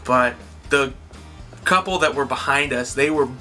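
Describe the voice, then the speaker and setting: A woman's voice: a bass voice, unhurried, sharp tone, soft background music. A woman co-hosting a film review, retelling scenes loosely in casual chat.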